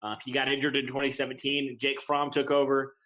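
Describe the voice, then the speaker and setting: Bright-voiced man analyzing football. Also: medium pitch, completely flat delivery, brisk. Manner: earnest, hesitant with frequent um and restarts. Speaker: podcast co-host. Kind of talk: casual sports podcast analysis.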